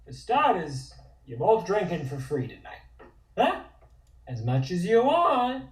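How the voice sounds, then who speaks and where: Man playing a boisterous, slurring drunk, swooping pitch. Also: sing-song, measured pace. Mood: generous, boozy. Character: very drunk, jovial, overfamiliar man pushing free drinks. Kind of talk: comic table-read acting. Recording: roomy, distant microphone.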